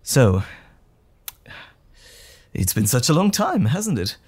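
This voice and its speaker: Man acting a guilt-ridden polite teacher, breathy husky voice, lively intonation, halting, earnest, audio drama. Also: mid-range voice, exaggerated voice. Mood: awkward, apologetic. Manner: hesitant, earnest, formal and polite.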